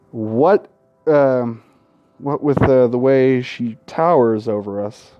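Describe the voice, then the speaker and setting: A man's voice: fairly high pitch, warm tone, highs cut off. A man reading a visual novel aloud as a let's-play host, with casual, profane asides. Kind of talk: reading game text aloud with casual commentary.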